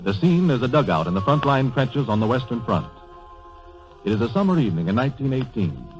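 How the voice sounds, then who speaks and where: A man's voice: medium pitch, sing-song, brisk, music in the background, rough recording. A man working as an announcer, setting a scene in narration on an old 1930s radio broadcast.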